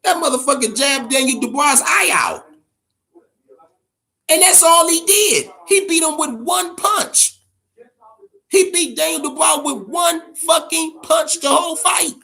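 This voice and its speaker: A man's voice: extremely high pitch, a little slow, gravelly, pushed and bright. A man with an American accent hosting a casual boxing chat show, giving opinions in profane, slangy language and repeating phrases for emphasis.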